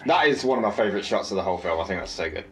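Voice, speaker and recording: A man's voice: deep voice, animated, rapid-fire. A man who made and acted in the film, talking casually on a cast commentary. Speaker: filmmaker and actor on a cast commentary.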